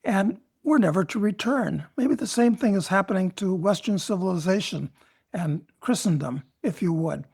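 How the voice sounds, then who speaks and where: A man hosting an interview, asking broad questions in an unhurried, musing way. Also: sits high, lively intonation, gravelly, darkish tone, breathy edge. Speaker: host.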